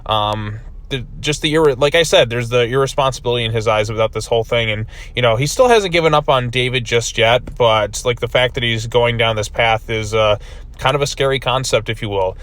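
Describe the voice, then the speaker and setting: A man's voice: mid-range voice, a little fast, fairly bright tone. A man giving a casual video review of a comic book, rambling with many fillers, his voice still recovering from being lost.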